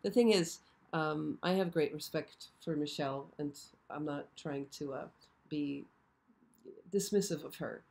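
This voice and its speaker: Hoarse woman hosting a live chat. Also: deep voice, unhurried, mellow. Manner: chatty, frequently checks in with "okay?". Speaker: show host. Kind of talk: casual live-stream chat. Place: show set.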